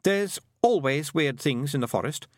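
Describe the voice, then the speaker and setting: A man voicing a haughty yet anxious twelve-year-old lost boy in a storybook, emphatic and excitable. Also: a little high, normal speed, no room sound, studio quality, voice pushed up, natural voice. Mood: wary, explaining.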